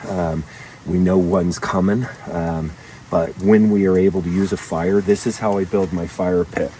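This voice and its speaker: A man's voice: low voice, steady tone, a little slow, dark tone. A man giving a casual outdoor walkthrough as a guide, plain-spoken and keeping his voice down. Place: outdoors at a backcountry camp. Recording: distant mic, outdoor background noise.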